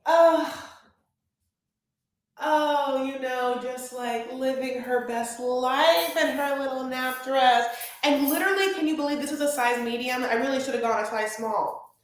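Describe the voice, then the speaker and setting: A woman hosting a casual live stream about reality TV, chatting in a rambling way with many fillers and restarts. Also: sits high. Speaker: reality TV recap livestream host. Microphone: webcam or phone on a live stream. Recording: echoey room.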